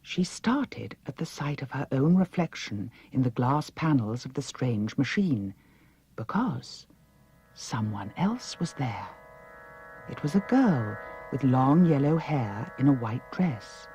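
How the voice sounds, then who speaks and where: A woman with a British accent reading a children's story as an expressive audiobook narrator. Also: rumbling low, takes it slowly, faint music behind. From United Kingdom.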